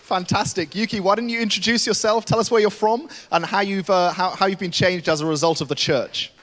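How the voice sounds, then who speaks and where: Enthusiastic pastor leading a baptism service. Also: male voice, sits high, steady tone, moderate pace, long pauses, fairly bright tone, a faint crowd, a little hiss or hum. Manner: enthusiastic, encouraging. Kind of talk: upbeat church service hosting. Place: church service with congregation.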